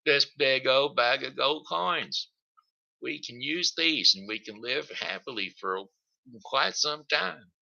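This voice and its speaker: Brief: man voicing eager country lad, higher and gravelly, dull lo-fi recording. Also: slow pace, sharp tone, exaggerated voice. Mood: excited. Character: young country boy hero, eager.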